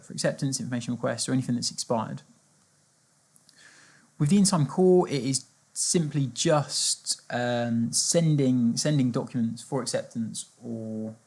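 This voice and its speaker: A man's voice: medium pitch, darkish tone. Hesitant man giving a demo. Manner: hesitant, repeats words mid-sentence. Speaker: software demo presenter. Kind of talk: informal software walkthrough. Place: screen-capture demo voice-over.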